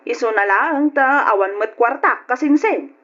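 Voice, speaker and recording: Stingy curt ilocano moneylender lady, highish voice, muffled old radio drama. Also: normal speed, a bit bright. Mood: curt, dismissive. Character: grudging, grasping moneylender cousin, curt woman.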